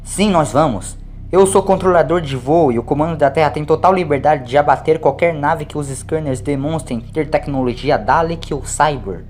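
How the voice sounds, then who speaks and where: A man's voice: a little high, moderate pace, darkish tone, pitched above the performer's own voice, lightly acted. Man playing a firm, level-headed father. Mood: insistent. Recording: clear background noise.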